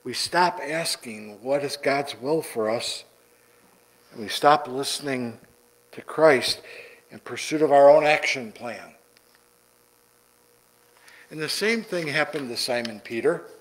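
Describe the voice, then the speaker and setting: A middle-aged man preaching a sermon from the pulpit in a church sanctuary, deliberate and repeating words for emphasis. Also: medium pitch, poor audio. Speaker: pastor. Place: church sanctuary, large indoor room.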